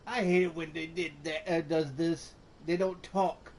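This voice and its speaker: High-pitched casual male gamer, terse. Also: fairly even intonation, unhurried, slight background noise. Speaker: gameplay streamer. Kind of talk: casual gameplay commentary. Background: loud game music.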